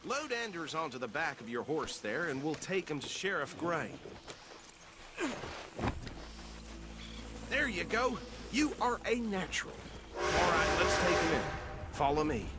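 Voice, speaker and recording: A man's voice: fairly high pitch, big pitch swings, moderate pace, loud music behind the voice. An actor playing a genial, talkative Southern American lawman in a video game, folksy and courteous in manner. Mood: friendly, impressed. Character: genial, chatty Southern lawman, proud small-town local.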